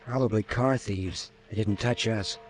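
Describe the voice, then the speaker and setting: A young man with a lowish voice, played by a radio actor, protective and on edge. He talks urgently but reassuringly in vintage horror drama style. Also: measured pace, slight background noise, lightly acted. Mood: uneasy. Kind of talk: old-time radio horror drama acting.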